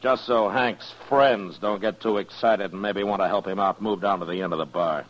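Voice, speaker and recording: A man plays a calm American frontier lawman in a 1950s radio western. His voice is deep, measured and firm with authority. The vintage recording is dull and clearly noisy. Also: close to an everyday voice. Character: calm, authoritative Old West marshal.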